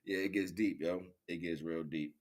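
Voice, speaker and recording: A man's voice: deep voice, steady tone, moderate pace. A man in his forties hosting an informal livestream monologue, talking in a rambling, slangy way, repeating phrases and checking in with the listener. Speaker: livestream host.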